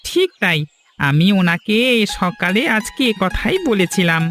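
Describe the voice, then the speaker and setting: A man's voice: high-pitched, animated, a little slow, fairly bright tone, soft background music, full character voice. A man voicing a humble, grateful village farmer in a cartoon fairy tale, earnest and deferential. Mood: content, earnest.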